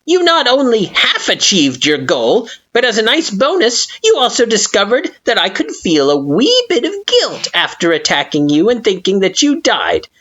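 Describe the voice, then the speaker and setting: A woman voicing an angry, sarcastic male flower monster with a shrill, sharp-tongued delivery. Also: sing-song, unhurried, breathy edge, full character voice. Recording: dull lo-fi recording.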